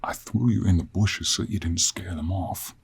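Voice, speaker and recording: A man playing a gruff, stubborn cowboy monster hunter in an audio role play, with an American Western accent, a folksy drawl and a husky voice. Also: moderate pace, heavily acted. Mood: stern, exasperated. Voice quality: husky, low.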